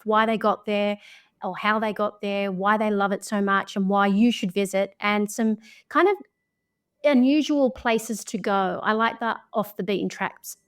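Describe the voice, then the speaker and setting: An Australian woman telling travel stories as a podcast guest, chatty and quick to laugh. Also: medium pitch, clear, resonant tone. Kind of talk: casual storytelling chat.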